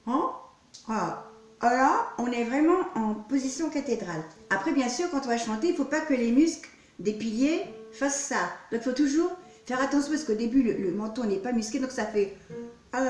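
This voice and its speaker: A woman's voice: on the high side, expressive, a little fast. A woman singing teacher with a cold, explaining vocal technique to her students in a demonstrative way, often repeating her words. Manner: demonstrative, repeats words, talks through gestures. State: has a cold. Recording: echoey room, distant mic.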